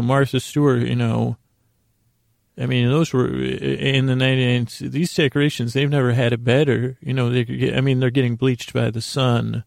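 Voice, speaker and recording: Very gravelly, meandering man podcaster. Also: mid-range voice, a little mellow, dry recording, clean studio sound. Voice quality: gravelly, creaky. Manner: meandering, halting, stammering with repeated words; self-deprecating. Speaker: podcast host. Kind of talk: rambling bedtime podcast monologue.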